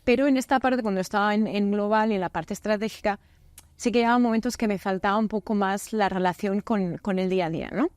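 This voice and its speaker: A woman from Spain, a business unit director, talks about her work as a guest on a podcast. She sounds friendly and candid. Her voice sits at a middle pitch, and the studio sound is dry and close. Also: fast talker, a little mellow, no room sound. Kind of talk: casual interview chat.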